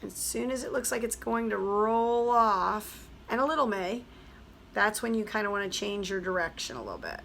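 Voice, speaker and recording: A hoarse woman, a teacher, chattily explaining a craft project step by step. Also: mid-range voice, lively intonation, normal speed, fairly bright tone, a little hiss or hum. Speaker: craft tutorial host. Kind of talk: craft tutorial voice-over.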